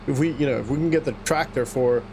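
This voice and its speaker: Man, native Afrikaans speaker, casual gameplay chatter, rambling, medium pitch. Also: quick pace, a little mellow, a little hiss or hum, poor audio. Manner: rambling, self-talking, chuckles to himself. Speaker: gaming YouTuber. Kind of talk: casual let's-play commentary.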